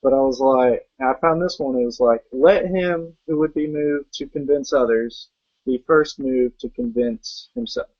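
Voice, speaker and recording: A man's voice: mid-range voice, a little slow, no room sound. A young man in a middle register speaks earnestly, pressing his points with rhetorical questions. The recording is dull and muffled, with a dry, close sound. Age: young adult. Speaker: network marketing guest. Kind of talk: motivational talk.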